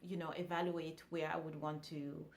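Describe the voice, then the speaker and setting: A woman's voice: low voice, moderate pace, a little hiss or hum. A woman, chatting casually to camera as a vlogger with lots of fillers and restarts. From France. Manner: relaxed, conversational, many fillers and restarts.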